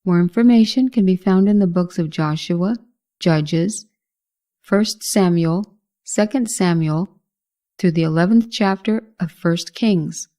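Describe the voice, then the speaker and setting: A woman narrating a scripted Bible history lesson in a measured, formal reading manner. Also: fairly low pitch, warm tone.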